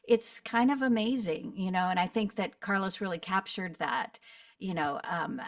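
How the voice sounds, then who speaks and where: A woman's voice: mid-range voice, moderate pace, no room sound, clean studio sound, far from the mic. A middle-aged woman, a voice actor, talking casually on a video call, rambling with restarts and laughs. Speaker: narrator and voice actor guest. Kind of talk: casual online panel chat.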